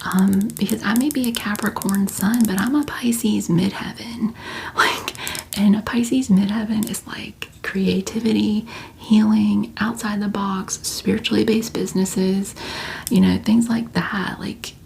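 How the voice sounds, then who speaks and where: A woman's voice: medium pitch, steady tone, measured pace, creaky, a little mellow, slight background noise. A woman whispering through a chatty ASMR question-and-answer video, full of "like" and "um" fillers. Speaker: ASMR creator.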